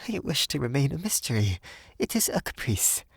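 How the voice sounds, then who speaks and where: A man voicing a shy, nervous young Parisian woman in an audiobook, soft and hesitant, with an earnest delicacy. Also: high voice, very animated, moderate pace, no echo, voice pushed up, exaggerated voice. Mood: pleading, gentle. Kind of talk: audiobook character voice.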